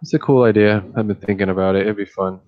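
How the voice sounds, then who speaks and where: A man with a deep voice who streams about fish keeping, chatting casually late at night and thinking aloud with many restarts. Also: steady tone, quick pace, muffled recording.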